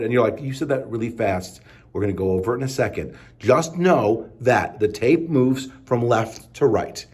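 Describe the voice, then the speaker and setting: A man with a medium-pitched voice leads a how-to lesson in a casual, conversational way. He is chatty and playful, talking directly to the viewer as an instructor who likes to pose their questions for them. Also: a little mellow. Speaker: instructor presenting a how-to video.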